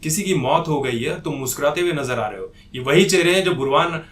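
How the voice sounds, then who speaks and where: A man's voice: a little high, expressive, brisk, a bit bright. A man giving an opinionated political commentary monologue in an indignant, accusatory manner. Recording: echoey room.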